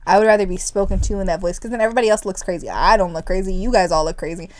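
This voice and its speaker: A young woman co-hosting a podcast in casual chat, animated and rambling, sometimes rising loud and shrill when excited. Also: rapid-fire. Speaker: podcast co-host.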